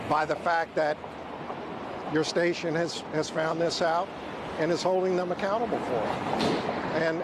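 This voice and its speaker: A man's voice: a little high, heavy background noise. A man giving formal interview comments as a watchdog official. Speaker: watchdog group president being interviewed.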